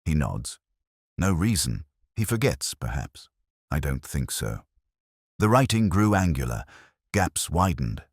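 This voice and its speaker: A man narrating a scripted first-person detective story as a weary, introspective investigator, in measured, brooding, literary short phrases. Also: very deep voice, sing-song, husky, no echo, clean studio sound.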